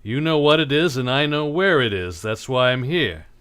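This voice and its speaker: Man acting a hard-boiled detective, swinging pitch. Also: lively intonation, moderate pace, fairly bright tone, clear, resonant tone, lightly acted. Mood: cool, sardonic. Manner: curt, sardonic, hard-boiled delivery. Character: tough, cynical, impatient male private detective. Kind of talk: 1940s radio detective drama acting with narration.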